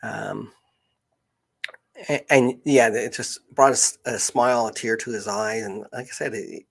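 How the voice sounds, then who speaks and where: A man talks informally on a live stream, as a host telling stories. His voice sits fairly low and has a husky grain. He speaks haltingly, circling back to restart and repeat his phrases. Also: steady tone, fairly bright tone. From Canada.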